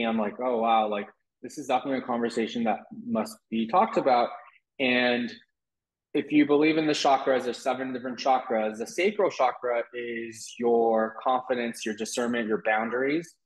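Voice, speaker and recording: A young man who grew up partly in Taiwan, with Mandarin as his first language, speaking candidly and reflectively as an interview guest, with frequent restarts. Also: mid-range voice. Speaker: wellness guest. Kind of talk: interview conversation. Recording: roomy echo.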